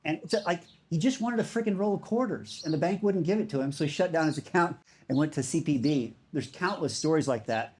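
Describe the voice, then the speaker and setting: A man's voice: fairly high pitch, animated, a little fast, few pauses, a little mellow. A man giving a conference talk in a casual, enthusiastic way, with many fillers. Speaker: speaker.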